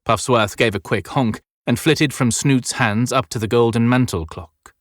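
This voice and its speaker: A man's voice: medium pitch, moderate pace, no room sound, studio quality. A man narrating an audiobook in a scripted, dramatic storytelling style.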